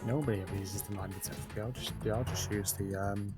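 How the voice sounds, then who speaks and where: A man with a low voice and plenty of gravelly fry chats casually as a co-commentator on a game stream. Game music is clearly audible behind him. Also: fairly even intonation, fast talker.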